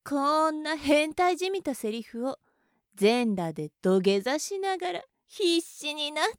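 A woman's voice: sits high, big pitch swings, measured pace, dry recording, studio quality. A woman acting a contemptuous, domineering young woman in an adult audio roleplay, mocking and bossy. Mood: scornful.

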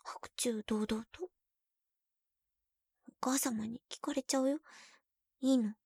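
A young woman playing a teasing, shy girlfriend who is junior to the listener, in an ASMR roleplay, talking playfully and dropping into soft whispers. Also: sits high, fairly even intonation, slow, breathy edge, no echo, clean studio sound, heavily acted. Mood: whispering tender.

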